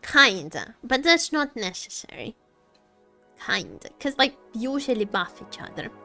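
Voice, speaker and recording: A woman livestreamer chatting casually with viewers about games, halting and jokey, often repeating herself. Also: a little high, big pitch swings, bright, forceful tone. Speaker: livestreamer, gaming content creator. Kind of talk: casual live gaming commentary, chatting with viewers. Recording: faint music behind the voice. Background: faint music.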